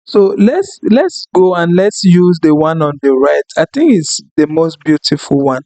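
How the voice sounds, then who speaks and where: A man whose first language is French, talking through a screen-recorded software tutorial in an unhurried, apologetic way, thinking aloud with fillers. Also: on the high side, big pitch swings, no room sound. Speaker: tutorial instructor.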